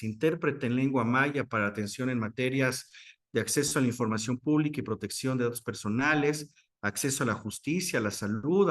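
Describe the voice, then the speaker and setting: Courteous, formal Mexican man hosting. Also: medium pitch. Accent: Mexican Spanish. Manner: courteous and ceremonious, with warm formal address. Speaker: interview host. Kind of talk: formal interview, reading a guest introduction.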